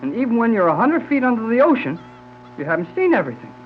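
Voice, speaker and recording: A dull 1930s radio recording with faint hum holds a brash young male adventurer. His high, very animated voice is slangy and breezy, always ready with a wisecrack. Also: fast. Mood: wry, excited. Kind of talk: 1930s radio serial acting.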